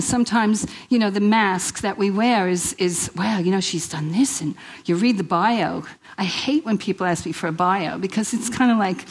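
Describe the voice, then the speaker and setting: A woman's voice: mid-range voice, rough recording. A middle-aged woman with a New York accent touched by Italian and Australian, giving a chatty, exhortative church talk with repeated phrases and rhetorical questions. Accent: New York with Italian and Australian traces. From New York, United States. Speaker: guest speaker at a church women's event. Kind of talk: informal inspirational sermon to a live audience.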